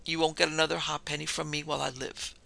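A man's voice: fairly high pitch, flat delivery, fast, gravelly, bright, forceful tone, heavily acted. A man voicing a domineering older baronet father in a storybook, blustering, scornful and condescending. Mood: stern.

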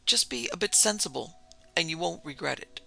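A man's voice: a little high, normal speed, gravelly, sharp tone, exaggerated voice. A man voicing a domineering older baronet father in a storybook, blustering, scornful and condescending. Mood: brisk, coaxing.

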